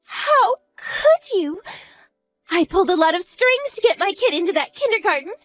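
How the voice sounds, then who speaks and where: A woman's voice: high-pitched, a little slow, no room sound, faint music behind, voice pushed up. A woman voicing a frantic young mother who is the husband's lover, shrieking and near tears. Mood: tearful. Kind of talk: audio drama acting.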